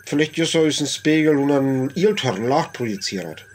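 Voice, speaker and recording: A man's voice: medium pitch, lively intonation, moderate pace, darkish tone, slight background noise, close to an everyday voice. A man acting a professor in a radio play, cautiously explaining and speculating in an interview, with a North Frisian accent. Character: male professor cautiously explaining alien invaders' weapons.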